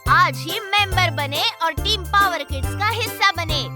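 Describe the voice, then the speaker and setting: A woman reads a kids' channel promo in a very high, bright, sing-song voice. A loud children's jingle plays behind her. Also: unhurried, loud music behind the voice. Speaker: promo announcer.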